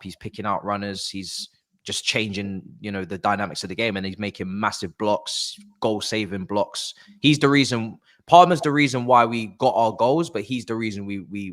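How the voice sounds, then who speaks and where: A man giving a casual football breakdown, rambling with fillers and restarts. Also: lowish voice. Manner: animated, rambling with filler words. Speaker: football pundit.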